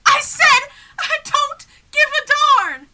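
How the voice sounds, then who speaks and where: A woman playing a flustered, exasperated comic man in an old-time radio comedy double act, with frantic, excitable delivery. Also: very high voice, very noisy, exaggerated voice. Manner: frantic, excitable, fast back-and-forth delivery.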